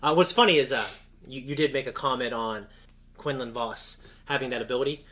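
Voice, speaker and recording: A man's voice: mid-range voice, brisk, fairly bright tone. A man co-hosting a casual fan discussion, talking in a rambling, enthusiastic way. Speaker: co-host of a reaction show.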